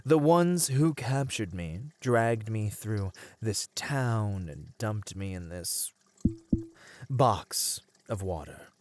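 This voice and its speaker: A man playing a captive merman in an ASMR roleplay, charming, plaintive and slyly persuasive, speaking gently in formal, wistful phrases. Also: lowish voice, animated, takes it slowly, a little hiss or hum. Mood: wary, wistful.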